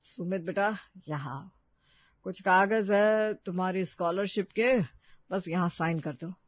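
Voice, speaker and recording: A man's voice: high voice, measured pace, dry recording, dull audio. A man playing a sly middle-aged brother-in-law in a cartoon story, dismissive and persuasive. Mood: falsely sweet.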